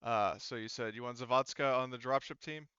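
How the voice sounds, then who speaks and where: A man acting as game master in a casual tabletop roleplaying session, narrating and organizing in a halting way as he thinks aloud. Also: medium pitch, sharp tone, smooth, no echo, highs cut off.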